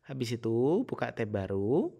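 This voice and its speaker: A man's voice: medium pitch, big pitch swings, moderate pace, gravelly, husky, dull audio. A man presenting a casual step-by-step tutorial in an instructional manner.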